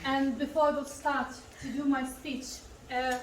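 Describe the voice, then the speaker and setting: Woman, high voice. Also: little pitch movement, a little hiss or hum. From Lithuania. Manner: earnest, with pauses and fillers. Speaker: speaker. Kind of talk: personal story speech. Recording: echoey hall from far off.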